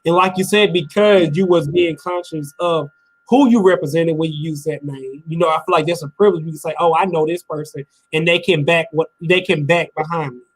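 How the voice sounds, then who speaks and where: A man in his late twenties hosting a casual interview, cutting in with quick agreement and affirming the guest. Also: fairly high pitch, steady tone, husky, poor audio. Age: 20s. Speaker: interviewer, host.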